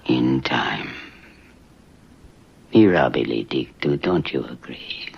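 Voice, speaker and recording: An actor voicing a taunting, malevolent demon in a horror film, gravelly and whispery, speaking slowly. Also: male voice, a bass voice, animated, full character voice. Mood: mocking menace. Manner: slow, mocking, sinister. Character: taunting, malevolent demon possessing a young girl. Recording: dull, noisy old film soundtrack.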